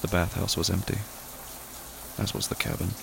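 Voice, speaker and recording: A man narrating a horror story in a somber, grave and hushed manner, with deliberate pauses. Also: low voice, little pitch movement, gravelly. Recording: loud rain noise behind voice.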